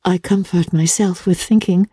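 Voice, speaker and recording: A woman voicing a gentle, hopeful young gentlewoman in a dramatic reading of a classic novel, earnest and soothing in formal period diction. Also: on the low side, fairly even intonation, normal speed, breathy edge, dry recording, studio quality, voice pushed up. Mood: hopeful, reassuring.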